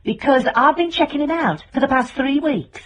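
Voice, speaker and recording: A woman's voice: high voice, moderate pace, a bit bright. An actress playing a dry, probing middle-aged British woman investigator in a radio drama, with a wry, understated way of questioning. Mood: cool, probing.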